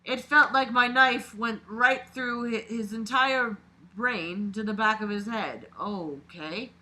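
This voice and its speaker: An expressive, exclamatory reader doing a dramatic read-aloud of a novel, breaking off into mocking commentary and swearing. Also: medium pitch, slow pace, rough recording.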